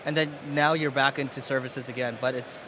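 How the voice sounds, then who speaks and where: A man hosting a podcast interview on a conference stage, asking brisk questions with short acknowledgments. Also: medium pitch, fairly even intonation. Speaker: podcast host. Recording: noisy event background.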